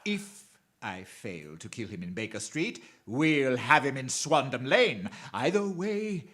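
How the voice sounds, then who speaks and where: A villainous criminal mastermind in a radio drama. He speaks slowly, with great swoops of pitch, savoring each threat and gloating as he repeats it. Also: sing-song, a bit bright, exaggerated voice. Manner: slow relishing menace, chuckling, repeats phrases. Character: male crime mastermind, menacing, gloating, theatrical.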